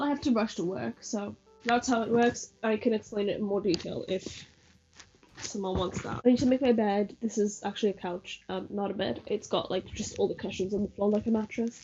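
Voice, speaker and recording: A woman's voice: mid-range voice, dark tone, highs cut off. Rambling young woman with hay fever. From northern England. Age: young adult. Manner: rambling, self-deprecating, filler-heavy. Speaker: vlogger. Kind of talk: casual chatty vlog. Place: living room indoors.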